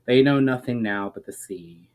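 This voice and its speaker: A man voicing a grave, ancient elf in a fantasy storybook reading, speaking in a measured, formal, explanatory way. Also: mid-range voice, darkish tone, natural voice. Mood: sad, cautious.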